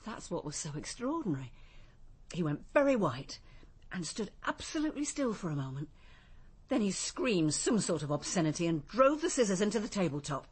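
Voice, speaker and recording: A shaken witness in a radio drama. Her slightly low voice recounts events carefully, as testimony given to police. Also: sing-song, fairly quick, breathy edge. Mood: shaken recollection. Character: shaken female witness recounting a frightening outburst.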